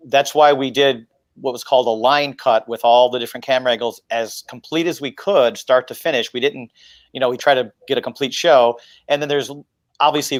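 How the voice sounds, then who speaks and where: A middle-aged man speaks at a medium pitch with a dry, echo-free sound. He explains things in a casual way over a video call on an earbud mic. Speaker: video editor and panel guest. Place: indoor home room with wooden glass cabinet, video call. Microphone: wired earbuds microphone.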